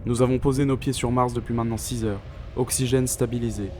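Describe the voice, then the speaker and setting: Clear noise behind, even-pitched young man acting an astronaut, composed, formal mission log, sci-fi audio drama. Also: fairly quick, close to an everyday voice. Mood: composed, awed. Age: young adult. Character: male astronaut on Mars, awed yet composed. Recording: clearly noisy, outdoor vehicle-like ambience.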